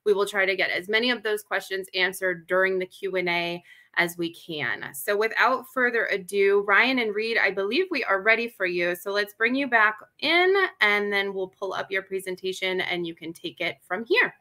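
A woman in her thirties hosts an online classroom session with a crisp, bright voice that cuts through. She welcomes guests and classes in a friendly, clear way. Her webcam picks her up in a small room at home. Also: mid-range voice, moderate pace. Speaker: host. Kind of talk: upbeat webinar hosting. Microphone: webcam on a video call.

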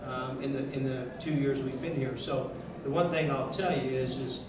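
A middle-aged man, a basketball coach, answering reporters after a game at a press table, steady and measured, often repeating words as he thinks. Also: mid-range voice. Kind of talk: postgame press conference. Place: press conference room, seated at table. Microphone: table mic. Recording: echoey room, mic from a distance, noisy.

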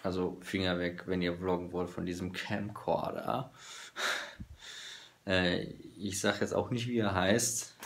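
A man in his thirties, hoarse, vlogging casually to a phone camera in a small room, halting with many fillers. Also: sits low, flat delivery. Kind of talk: casual vlog talk to camera. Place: small bedroom office indoors. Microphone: phone camera at arm's length.

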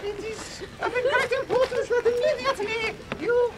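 A giddy young woman gushes in a piercing, squealing falsetto, as a man puts her on. The voice rides far above any normal speaking pitch and bubbles with giggles. Loud open-air noise surrounds her. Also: fairly even intonation, normal speed, a loud crowd. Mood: gushing. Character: breathless young woman, gushing, high falsetto. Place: outdoor beach stage at night.

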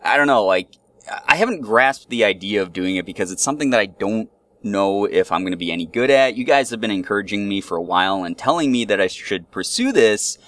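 A man's voice: fairly low pitch, lively intonation, fairly quick, a bit bright, no room sound. A man talking casually as a video host, in a hesitant, self-deprecating manner. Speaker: YouTube host, amateur voice actor. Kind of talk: casual vlog talk.

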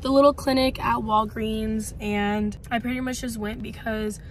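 A woman in her twenties talks casually into a phone from her parked car, her voice stuffy and partly gone. She rambles with lots of likes, in a slightly high, flat voice. Faint car noise sits behind her. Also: moderate pace. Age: 20s. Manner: chatty, rambling with many likes. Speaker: vlogger. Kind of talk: casual chat to camera. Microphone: phone camera at arm's length.

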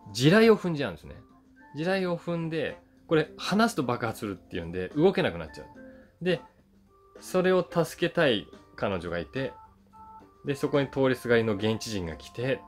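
A man in his forties chatting casually to camera as he reviews a film, conversational and emphatic. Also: medium pitch. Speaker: YouTube host reviewing films, voice actor. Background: background guitar music.

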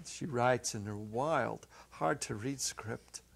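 A middle-aged man giving a measured, expressive dramatic reading of a story as narrator. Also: medium pitch, creaky, husky. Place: small indoor set. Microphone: lapel.

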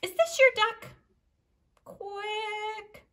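A woman's voice: steady tone, extremely slow, bright, forceful tone, full character voice. A woman doing a chirpy little storybook duck. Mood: playful. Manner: bouncy read-aloud voices, big pitch jumps. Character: chatty cartoonish duck, small and eager.

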